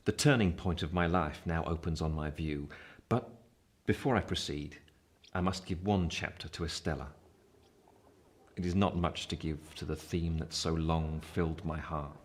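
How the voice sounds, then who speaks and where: Radio drama reflective male narrator: deep voice, measured literary storytelling, formal phrasing. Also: steady tone. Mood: wistful. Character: reflective older Pip narrating his life story. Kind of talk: literary first-person narration in radio play.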